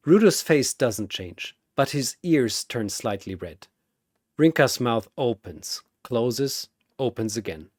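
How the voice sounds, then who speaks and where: A man narrates a scripted story with theatrical flair. His delivery is slow and suspenseful, with widely varied intonation and a dry, close sound. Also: mid-range voice, big pitch swings, a little mellow, dry recording. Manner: theatrical, short suspenseful phrases.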